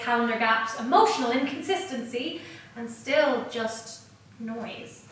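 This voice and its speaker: A woman's voice: sits high, bright, forceful tone, exaggerated voice. A woman playing an earnest, wounded, analytical mathematician in a dramatic staged reading, reflective and hesitant. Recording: very echoey room, distant mic, music.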